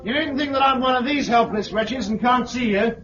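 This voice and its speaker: An actor playing an angry, defiant agitator haranguing someone in a 1950s radio drama, forceful and accusing, with a British accent. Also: male voice, extremely high pitch, brisk, a little hiss or hum. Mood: indignant. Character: angry, defiant male agitator championing the helpless blind. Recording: muffled vintage radio with loud crowd.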